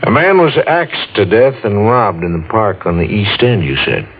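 A matter-of-fact police sergeant in a 1940s radio drama. He speaks low, in a measured, dry way. The recording is phone-thin with faint noise. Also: sing-song, muffled recording.

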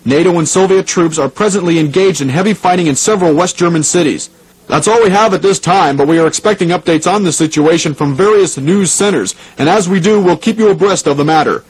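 A man playing a 1980s radio station host, speaking in a measured, formal announcer style. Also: sits high, husky. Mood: serious, urgent. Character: 1980s male radio DJ hosting oldies, turning newsreader. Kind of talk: radio DJ and news bulletin announcing. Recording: noisy radio broadcast recording.

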